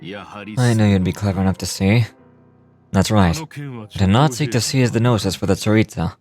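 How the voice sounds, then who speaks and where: A man acts out a calculating commander from a video game with a fairly low voice. He speaks formally and deliberately, calm and grave as he persuades. Faint music plays underneath. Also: lightly acted. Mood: composed, resolute.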